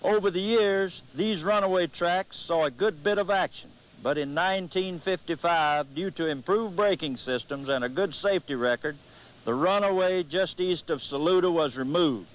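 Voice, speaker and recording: Higher-pitched man presenting history. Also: normal speed, rough recording. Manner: folksy, addresses viewers directly. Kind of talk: scripted historical narration.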